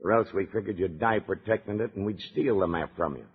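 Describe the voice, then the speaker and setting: A man's voice: low voice, quick pace, husky, exaggerated voice. A man playing a sly, bullying kidnapper boss in an old-time Western radio serial. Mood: menacing. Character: menacing male kidnapper gang boss, threatening and sly. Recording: noisy, tinny old radio recording.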